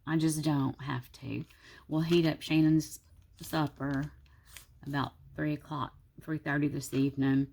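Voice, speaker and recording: A woman's voice: little pitch movement, unhurried, vocal fry, a little mellow. A woman, sick and congested with a sore throat, chatting tiredly to viewers from her desk at home. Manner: tired, low-energy, rambling. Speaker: planner vlogger. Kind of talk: casual vlog talk to viewers.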